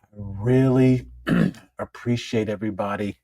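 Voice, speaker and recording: Middle-aged man, raspy and hoarse, low voice, dry close sound; easygoing solo podcast host. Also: unhurried, dry recording. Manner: relaxed, conversational, repeats words. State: hoarse after illness. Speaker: plastic surgeon podcast host.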